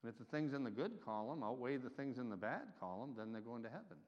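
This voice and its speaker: A man preaches with emphatic swells and drops in loudness. His voice rings with a long reverb in a church hall, and the recording sounds dull and muffled. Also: medium pitch, big pitch swings, quick pace. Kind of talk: sermon.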